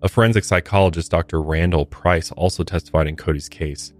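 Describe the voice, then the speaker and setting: A man's voice: deep voice, steady tone, fairly quick, soft background music. A man co-hosting a true crime podcast, reading case details and adding short opinions. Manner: reads case notes, adds brief opinions. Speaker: podcast co-host.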